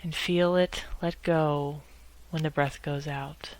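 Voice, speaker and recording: A woman guiding a meditation in a measured, instructional way, speaking in short phrases with pauses between them. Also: sits low, fairly even intonation, darkish tone, no room sound, rough recording. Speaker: guided meditation leader. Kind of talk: guided meditation narration.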